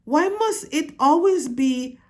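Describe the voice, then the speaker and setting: A woman's voice: high voice, expressive, takes it slowly, a little mellow, pitched above the performer's own voice. A haughty mother mouse speaks with a put-on French accent, in a raised, scornful voice, as a woman puts her on. She is dismissive and theatrical, a storybook mouse rolling her eyes at her husband. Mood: exasperated.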